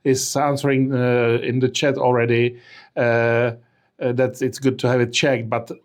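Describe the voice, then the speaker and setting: Male Q&A moderator, halting and casual. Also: medium pitch, level tone. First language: Czech. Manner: hesitant, many fillers. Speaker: webinar co-host reading audience questions.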